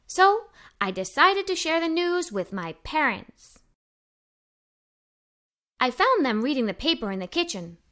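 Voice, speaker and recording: A woman reading a children's story aloud in an expressive, enthusiastic way, as an adventurous young girl telling her own tale. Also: high voice, measured pace, long pauses. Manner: animated, enthusiastic. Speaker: storybook narrator.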